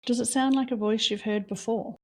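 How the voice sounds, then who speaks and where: A woman leading a guided reflection exercise, asking slow, soft questions with long pauses between them. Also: fairly high pitch, steady tone. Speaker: meditation guide.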